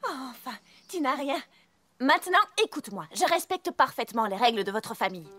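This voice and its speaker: An earnest, caring young female pony from a cartoon. The high voice speaks articulately, like a studious pupil explaining things. Music sits behind the words. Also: very animated, a little fast, pushed and bright, heavily acted. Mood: worried, pleading. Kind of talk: animated cartoon dub acting.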